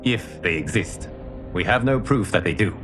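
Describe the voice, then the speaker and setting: Man as a low-voiced, commanding leader. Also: expressive, moderate pace, noisy background, close to an everyday voice. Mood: sober, doubtful. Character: sober, commanding male leader, a former god.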